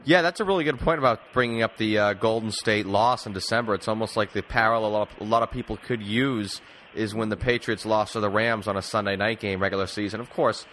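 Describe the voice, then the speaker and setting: A man's voice: fairly low pitch, fast, a bit bright. A man hosting a sports podcast, talking through games in a conversational way, with faint noise behind him.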